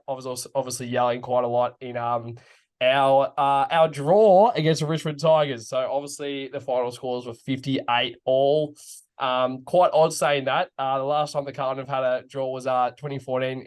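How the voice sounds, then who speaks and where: A young Australian bloke hosting a footy chat podcast. His voice is slightly croaky from yelling, and he talks in a loose, casual way full of ums and the odd swear word. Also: mid-range voice. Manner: relaxed, swears casually, many fillers. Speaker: podcast co-host.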